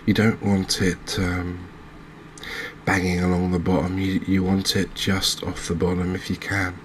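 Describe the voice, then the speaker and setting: A man giving soft-spoken ASMR commentary as he plays a video game, in a measured, gentle, chatty manner. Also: low-pitched, monotone, slight background noise. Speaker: host playing a fishing video game.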